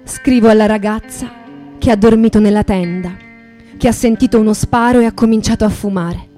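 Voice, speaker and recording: A woman performing a dramatic literary recitation in radio theatre, speaking in a poetic, deliberate, litany-like way. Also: medium pitch, fairly even intonation, mellow. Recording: voice over acoustic guitar music. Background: acoustic guitar.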